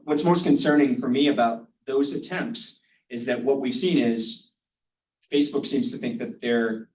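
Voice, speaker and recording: A man speaks on a panel in a loose, conversational way, full of "um"s and "kind of"s. His voice is picked up from some distance and rings in a roomy hall, with a faint layer of noise underneath. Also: medium pitch. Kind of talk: panel discussion talk.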